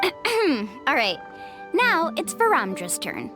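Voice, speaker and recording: A bright-spirited young female guide from a video game, pitched high and swinging freely. She is breezy, playful and encouraging, with faint music behind her. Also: huge pitch swings, slow, heavily acted. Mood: easygoing. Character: upbeat, easygoing young female tour guide.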